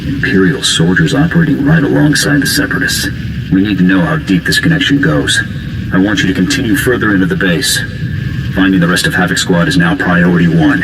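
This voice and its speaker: An actor playing a businesslike military officer directing a soldier in a video game. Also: male voice, deep voice, lively intonation, fairly quick, vocal fry, reverberant, background noise. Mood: businesslike, urgent.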